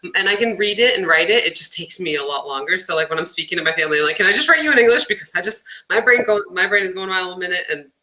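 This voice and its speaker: A woman's voice: lowish voice, lively intonation, racing, few pauses, bright, forceful tone, rough recording. A young woman musician, born in Albania and raised near Boston, chatting casually in an interview with lots of "like" and frequent laughter. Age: young adult. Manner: chatty, fillers of "like", laughs often. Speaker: musician guest.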